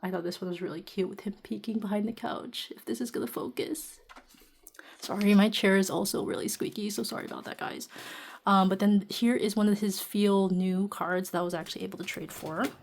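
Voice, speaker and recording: A woman vlogger chatting casually and a little quietly while sitting down at home, with lots of fillers and laughs. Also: mid-range voice, fairly even intonation, fairly quick, vocal fry. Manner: talking softly while seated. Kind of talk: casual chatty vlog narration. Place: indoors at home, seated.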